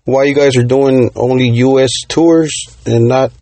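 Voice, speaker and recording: A man's voice: medium pitch, fairly even intonation, a little slow, dark tone, dry recording. A man hosting an interview, reading out fan questions and praising his guest with a fan's enthusiasm.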